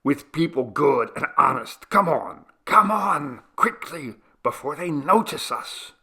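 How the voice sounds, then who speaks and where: A man acts out an insistent male villager from a storybook, hurrying people along. The voice is very sharp and tense, swinging in pitch, with a gravelly edge. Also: expressive, slow, heavily acted. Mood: urgent. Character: urgent hushed male villager hurrying his companions along. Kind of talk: audiobook story acting.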